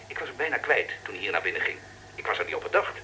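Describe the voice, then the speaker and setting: An actor playing an eager, excitable field reporter in a radio drama, confiding down a telephone line. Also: male voice, lowish voice, very fast, slight background noise, heavily acted. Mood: excited.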